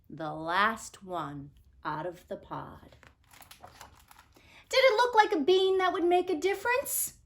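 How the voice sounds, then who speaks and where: A woman reading a picture book aloud to children in an expressive, theatrical way with deliberate phrasing. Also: high-pitched. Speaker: storybook reader.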